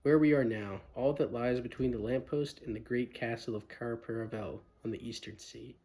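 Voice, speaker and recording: A man's voice: medium pitch, steady tone, moderate pace, dark tone, slightly rough. A man voicing a polite, flustered male fawn in a storybook reading, repeating himself in his courteous, wistful way.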